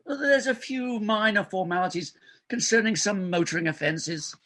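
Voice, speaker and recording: A man's voice: sits high, normal speed, a bit bright. A man playing a desperate, insistent married doctor who cajoles a colleague in a stage farce. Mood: coaxing. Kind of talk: stage farce readers theater acting.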